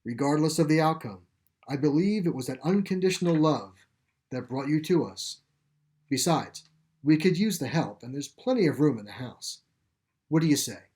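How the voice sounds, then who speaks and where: A man reading a story plays a calm, gentle caretaker of an animal sanctuary, explaining things with assurance. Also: on the high side, moderate pace, natural voice. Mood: gently persuasive. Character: calm, reassuring pipe-smoking male caretaker of animal sanctuary. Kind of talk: storybook character dialogue.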